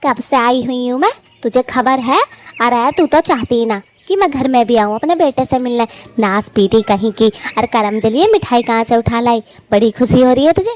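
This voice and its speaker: A woman voicing a domineering older mother-in-law in a cartoon, scolding with sharp taunts. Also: fairly high pitch, animated, normal speed, slight background noise, lightly acted. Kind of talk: Hindi cartoon voice acting.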